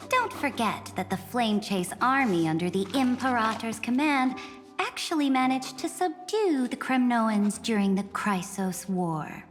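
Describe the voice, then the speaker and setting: A woman's voice: fairly high pitch, expressive, unhurried, long reverb tail, faint music behind. A woman playing a haughty, formal young empress in a video game, speaking in a regal, pompous, commanding way. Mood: coolly challenging.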